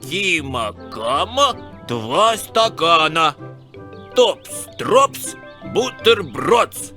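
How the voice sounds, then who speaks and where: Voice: mid-range voice, sing-song, extremely slow, sharp tone, faint music behind, exaggerated voice. An actor voicing a stubborn, contrary little boy in a children's cartoon. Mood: playful chanting.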